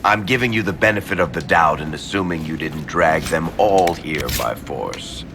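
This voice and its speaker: An actor playing a sardonic, teasing adventurer in a fantasy video game, with a wry, drawling delivery. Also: male voice, rumbling low, moderate pace, over music.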